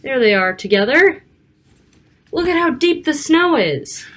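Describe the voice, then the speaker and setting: A woman reading a picture book aloud to children, expressive, with casual asides about the pictures. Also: sits high, a little slow. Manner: child-directed, expressive, stretching key words and pointing out pictures.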